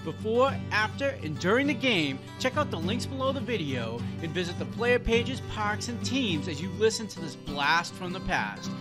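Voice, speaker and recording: Lively male promo host over guitar music. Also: high voice, moderate pace, few pauses. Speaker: podcast intro host. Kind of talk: scripted promotional narration. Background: country guitar music.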